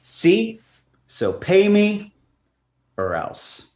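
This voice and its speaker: A man's voice: high-pitched, wildly swooping pitch, drawn-out words, darkish tone, full character voice. A man playing a menacing, sardonic racetrack businessman in a comic radio play, curt and tough. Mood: threatening.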